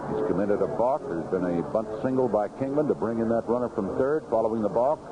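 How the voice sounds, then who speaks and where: An American man calling a baseball game play by play on 1970s radio, in clipped, unhurried phrases from an outdoor ballpark booth. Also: lowish voice. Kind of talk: live radio sports commentary, 1970s. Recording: noisy vintage AM radio broadcast, muffled.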